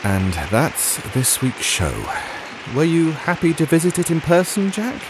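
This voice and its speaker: A man's voice: a little high, sing-song, a little slow. A man co-hosting an audio drama show with scripted banter and sign-off. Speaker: podcast co-host. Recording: clear hiss and outdoor-like noise.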